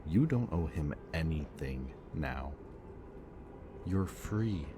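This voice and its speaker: A man speaking a gentle, hesitant roleplay monologue to the listener as a soft-spoken villain. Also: very deep voice, expressive, slow, noisy background.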